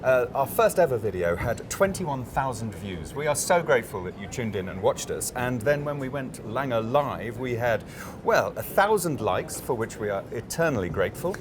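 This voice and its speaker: A man's voice: medium pitch, very animated, normal speed, noisy background. A man hosting a live panel interview at a busy trade fair, upbeat and chatty. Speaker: host. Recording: crowd chatter behind.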